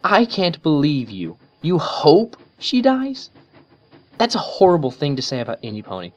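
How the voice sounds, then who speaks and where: A man's voice: medium pitch, expressive, fairly quick, pitched above the performer's own voice, natural voice. An earnest young unicorn mare, as a man puts her on, principled and a bit lecturing, in a voice lifted above his own. The storybook reading sounds dull and lo-fi. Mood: indignant. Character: earnest studious young female unicorn pony.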